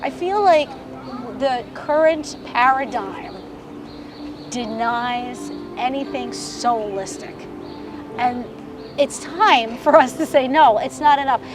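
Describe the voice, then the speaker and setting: High, distant-miked woman, emphatic. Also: lively intonation, slow, music in the background. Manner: informal, emphatic. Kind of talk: spontaneous personal testimony. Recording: distant mic.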